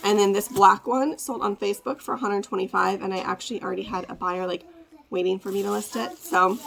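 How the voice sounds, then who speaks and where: A woman with a congested, raspy voice, chatting casually as she packs orders to ship at home, rambling with lots of fillers. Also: mid-range voice, a bit bright, poor audio. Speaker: part-time online reseller. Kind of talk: casual ship-with-me vlog chat.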